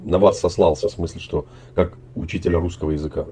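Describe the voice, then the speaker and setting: A man's voice: low-pitched, fairly even intonation, fast talker, dark tone, husky. A middle-aged man on a webcam video chat in a room, asking questions with a feigned naive manner. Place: indoor room.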